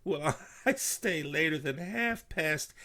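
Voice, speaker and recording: A startled storybook mailman, played by a male reader, gasping and theatrical. The voice is lifted high above everyday talk. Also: lively intonation, slow, bright, forceful tone, heavily acted. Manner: gasping, dramatic.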